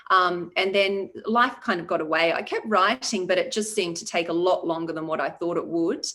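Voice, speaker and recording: A woman, a debut novelist talking through her path to publication in a relaxed, reflective way with many ums. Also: lowish voice, moderate pace. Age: 40s. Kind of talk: conversational interview.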